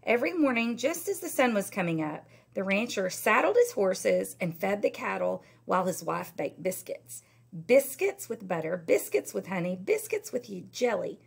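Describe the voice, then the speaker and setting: A middle-aged woman, a teacher, reading a children's story aloud in an expressive, emphatic way. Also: mid-range voice, moderate pace, gravelly, breathy edge. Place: indoor school classroom.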